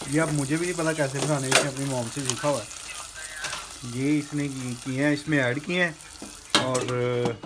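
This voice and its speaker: A man in his thirties filming a casual home vlog on his phone, narrating cheerfully to viewers and exclaiming often. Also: medium pitch. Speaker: vlogger. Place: home kitchen and rooftop, indoors and out. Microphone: phone camera held in hand. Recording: noisy phone recording.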